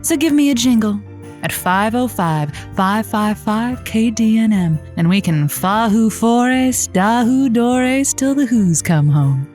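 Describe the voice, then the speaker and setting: A woman's voice: fairly high pitch, lively intonation, slow pace, a little mellow, faint music behind. A woman playing a playful, flirty late-night radio host, theatrical and teasing, in a comedic radio drama. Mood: playful, upbeat.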